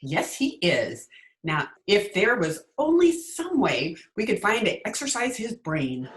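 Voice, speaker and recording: A woman's voice: medium pitch, very animated, a little slow, fairly bright tone, slight background noise. A woman playing a witty, sardonic schoolteacher with dry wisecracks in an old-time radio comedy, recorded over a video call. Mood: wry. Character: witty, sardonic female high school English teacher. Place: recorded remotely at home. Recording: echoey room on video call.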